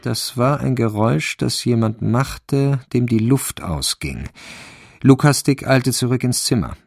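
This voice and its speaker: A man narrating a crime radio play in a sober, measured, deadpan way. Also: medium pitch, vocal fry, slight background noise. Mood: dry suspense. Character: sober, dry male narrator of a crime story. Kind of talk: scripted radio drama narration.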